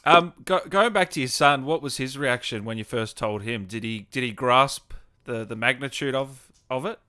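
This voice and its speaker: A man with a bright, crisp-edged voice of medium pitch. He hosts a casual livestream interview, reacting informally and passing on questions. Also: moderate pace. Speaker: interview host, gaming streamer.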